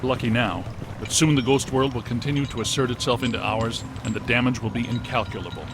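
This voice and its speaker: Game role: male actor as cerebral scientist, earnest, technical and precise, medium pitch, over clear ambient noise. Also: fairly even intonation, fast talker, natural voice. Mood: earnest, explanatory. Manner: matter-of-fact, technical, precise. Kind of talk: video game voice acting. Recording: loud ambient and vehicle noise behind.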